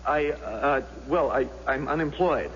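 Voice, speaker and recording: An actor playing a flustered, desperate defendant in a radio drama, stammering and pleading. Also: male voice, medium pitch, a little slow, natural voice. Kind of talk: 1970s radio drama acting. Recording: muffled echoing old radio, hiss and hum.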